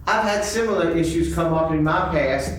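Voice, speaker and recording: A man playing a pushy, smooth-talking male cat in a live sock puppet show. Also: fairly high pitch, normal speed, fairly bright tone, lightly acted. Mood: friendly, insinuating. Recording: echoey convention room, far mic, faint noise.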